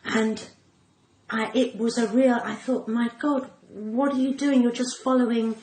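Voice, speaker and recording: A woman's voice: on the high side, fairly even intonation, unhurried, darkish tone. An older woman with a cut-glass English accent telling candid anecdotes as an interview guest, dramatically acting out what people said. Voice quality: cut-glass, well-spoken. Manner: dramatic, acting out quoted speech. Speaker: podcast guest, comedian and actor. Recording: roomy echo, faint background noise.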